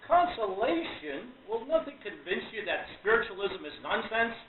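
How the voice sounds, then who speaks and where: A woman's voice: medium pitch, big pitch swings, normal speed, lightly acted. A woman playing a scornful, triumphant male magician in a radio play, declamatory and mocking. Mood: exasperated. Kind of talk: stage radio play acting. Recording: tinny, echoey, distant with crowd noise.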